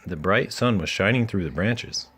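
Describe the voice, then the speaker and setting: A man reading a children's story aloud in an animated storytelling style. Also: on the low side, moderate pace, slightly rough. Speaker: children's book reader.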